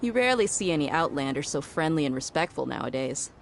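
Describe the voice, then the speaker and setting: An actress playing a confident, sardonic mercenary with a cool, casual manner in a video game. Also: female voice, deep voice, animated, fast talker, vocal fry, a little hiss or hum. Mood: easygoing. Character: confident, wry female desert mercenary, cool under pressure. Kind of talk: anime-style video game voice acting.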